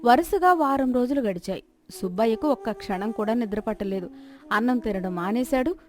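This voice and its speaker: A woman's voice: a little high, lively intonation, brisk. A woman narrator reading a scripted moral story as a storyteller.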